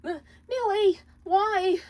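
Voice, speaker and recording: A woman voicing a wounded, heartbroken male imp in a storybook reading, halting and sorrowful, half singing. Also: very high-pitched, a little mellow, voice pushed up. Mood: pleading, hurt. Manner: broken, halting, sometimes singing.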